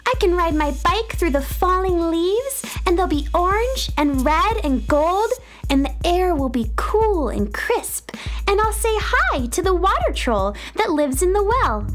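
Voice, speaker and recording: An eager, impatient little space girl from a children's radio play. Her voice is extremely high and swings up and down with childlike feeling, and she takes her time over her words. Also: unhurried, faint music behind, full character voice. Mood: eager, pleading. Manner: childlike, pleading and expressive. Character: eager, impatient young space girl who loves exploring. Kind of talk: children's audio drama acting.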